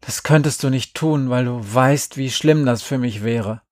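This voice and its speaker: A man's voice: mid-range voice, measured pace, darkish tone, no room sound, clean studio sound, lightly acted. A man voicing a feisty, streetwise young girl in an audiobook, brash, slangy and emphatic. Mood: soothing. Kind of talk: acted audiobook dialogue.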